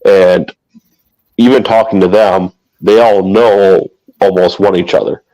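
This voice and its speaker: A man with a very deep voice talks in an even, level tone. He rambles through a casual chat and often stops to correct himself. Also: a little slow, rough recording. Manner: rambling, self-correcting, flat delivery.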